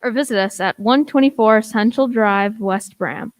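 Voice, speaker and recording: Young woman as a high, hearty pirate seller. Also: normal speed, no room sound, lightly acted. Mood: hearty selling. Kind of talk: amateur scripted radio ad.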